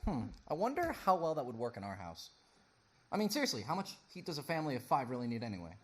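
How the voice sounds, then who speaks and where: An actor playing a sardonic, grouchy husband and podcaster who makes dry asides in a stage comedy. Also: male voice, mid-range voice, wildly swooping pitch, fast talker. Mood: sarcastic.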